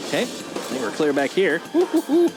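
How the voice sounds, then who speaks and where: A woman's voice: lowish voice, huge pitch swings, moderate pace. A woman doing casual live game commentary in a playful, exclamatory way, given to sing-song whoops. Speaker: game streamer. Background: loud game music and sounds.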